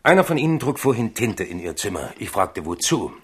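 Wordy, husky royal manservant. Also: male voice, on the low side, very animated, brisk, heavily acted. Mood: sly, chatty. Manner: wordy, deferential, storytelling. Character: loyal, talkative manservant of a young king, nobleman. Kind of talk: German radio play acting.

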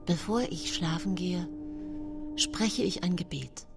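An actress playing a scheming, self-pitying nineteenth-century murderess who tells her own case in a hushed, brooding radio play monologue. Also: on the low side, slow pace, gravelly. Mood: grieving, hushed. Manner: hushed, brooding, often whispering. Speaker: radio drama actress narrating. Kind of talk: intimate first-person radio play monologue. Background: music.

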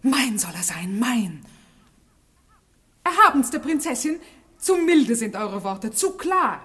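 An actress playing a jealous, lovesick schemer at a royal court in a radio play, with intense, theatrical delivery. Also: female voice, on the high side, big pitch swings, takes it slowly, fairly bright tone, husky, heavily acted. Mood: scheming, urgent. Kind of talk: classic German radio play, theatrical monologue.

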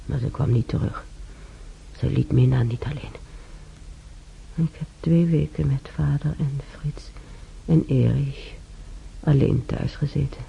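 An older woman acting an ailing, weary old woman reminiscing alone in a radio drama, halting and sighing, with a breathy voice. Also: a bass voice, warm tone, exaggerated voice. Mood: troubled. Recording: dull radio recording, faint noise.

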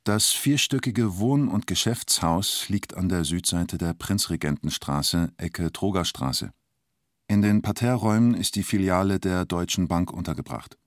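An actor as the narrator of a radio play about a robber, speaking in terse, deliberate, clipped phrases. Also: male voice, low voice. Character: male narrator telling a bank robber's story tersely. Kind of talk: radio play narration, short present-tense sentences.

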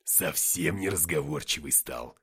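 A man voicing a proud, taunting half-angel warrior in an audiobook, with slow, emphatic delivery. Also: low voice, fairly bright tone, husky, pitched below the performer's own voice, heavily acted. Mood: mocking. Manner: emphatic, slow, drawn-out declarations.

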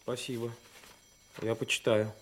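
A man's voice: medium pitch, little pitch movement, measured pace, fairly bright tone. A modest young police trainee in a Soviet radio drama. His voice is of middling height. He sounds hesitant and unsure of himself. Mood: doubtful, modest.

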